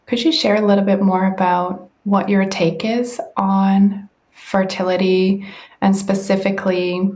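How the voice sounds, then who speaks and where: A woman hosting a podcast, asking her guest questions in a gentle, measured way. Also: medium pitch, flat delivery. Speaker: podcast host. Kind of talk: interview questions.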